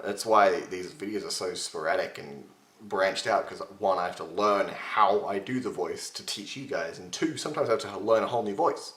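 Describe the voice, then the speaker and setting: Young man, lowish pitch, slightly gravelly from strain, chatty self-mocking webcam tutor, roomy home echo. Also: a bit bright. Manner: chatty, self-mocking, gestures with his hands. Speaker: voice impression tutor. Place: home room with shelves, indoors. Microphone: webcam at desk distance.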